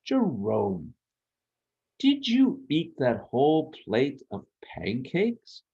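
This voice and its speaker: Man as a husky storybook gnome papa. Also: medium pitch, wildly swooping pitch, very slow, dark tone, muffled recording, pitched above the performer's own voice, heavily acted. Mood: astonished. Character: astonished, affectionate gnome father.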